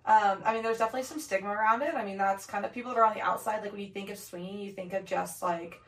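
A young woman co-hosting a podcast from her home office, hoarse from laryngitis, chatting casually with many fillers. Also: medium pitch, fairly even intonation, racing, a bit bright. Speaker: podcast co-host. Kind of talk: casual chat. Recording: echoey room, distant mic.

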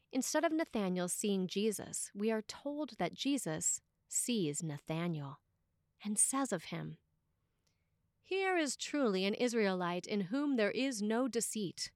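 A woman's voice: medium pitch, lively intonation, unhurried, a little mellow, no room sound. Woman reading a sermon, dry close recording.

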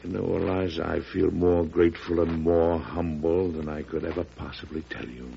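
An actor playing a weary, proud, beleaguered American president in an old-time radio drama, with a gravelly voice. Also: male voice, deep voice, fairly even intonation, normal speed. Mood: humbled, resolute. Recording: dull, noisy vintage radio recording.

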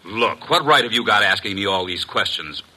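An actor playing a nervous, defensive airline pilot, edgy and halting, in a 1940s radio drama. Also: male voice, lowish voice, expressive, bright, forceful tone, husky, full character voice, rough recording.

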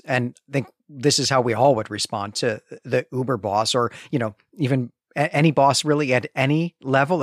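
A man speaks as co-host of a book podcast, with a middle-range voice. His delivery is conversational and explanatory, working through ideas out loud. The recording is dry and free of room echo. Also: medium pitch. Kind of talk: conversational literary discussion podcast.

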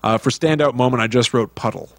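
Guy cohosting a movie podcast, medium pitch, really into it, super dry close recording. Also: fast, no room sound. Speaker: podcast co-host. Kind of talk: casual movie-discussion podcast, reading out taglines and quotes.

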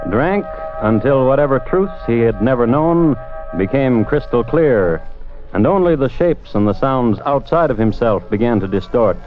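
A male actor as expressive American radio storyteller. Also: medium pitch, moderate pace, close to an everyday voice. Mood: dramatic. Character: male storyteller of a dark, ironic radio tale. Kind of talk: scripted dramatic narration, classic radio. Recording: dull vintage radio recording, music behind.

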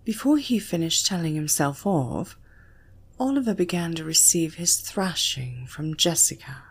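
A British woman narrating an erotic story in a breathy voice that often drops toward a whisper. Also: deep voice, animated, unhurried. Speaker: audiobook narrator.

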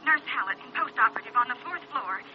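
A woman's voice: fairly high pitch, expressive, quick pace. A woman playing a worried, hesitant nurse pleading over the telephone in a vintage radio drama. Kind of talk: 1940s-50s radio acting. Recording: muffled old radio, telephone sound, faint noise.

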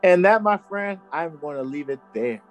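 A man's voice: a little high, expressive, moderate pace, muffled recording, faint music behind. A man co-hosting a podcast, telling a true crime story in casual chat and laughing often. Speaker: podcast co-host.

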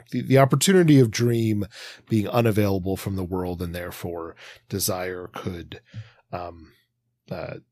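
Lower-voiced man casually analysing fiction. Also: darkish tone, dry recording. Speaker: podcast co-host.

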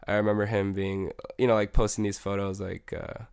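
A man's voice: on the low side, little pitch movement, a little fast, creaky, darkish tone, smooth, no echo, clean studio sound. A young man, a music producer, chatting casually as an interview guest with a laid-back manner and many fillers. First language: Spanish.

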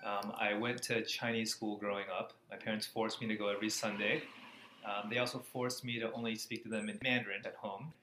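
A man's voice: lowish voice, little pitch movement, a little fast, pushed and bright. An American man in his thirties, answering interview questions in a casual way. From Florida, USA. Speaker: interview guest, cardiology fellow. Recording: echoey room, faint background noise.

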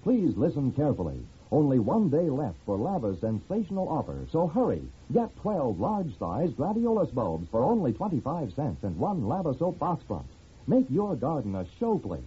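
A man's voice: fairly high pitch, mellow. An American man urgently reading a scripted 1940s radio advertisement. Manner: urgent selling delivery. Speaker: commercial announcer. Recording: dull, husky-sounding vintage radio broadcast.

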